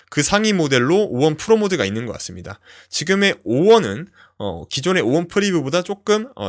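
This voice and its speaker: A young man hosting a screen-share tech tutorial, explaining step by step with frequent fillers. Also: fairly high pitch. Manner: explanatory, lively, many fillers "어" and "뭐".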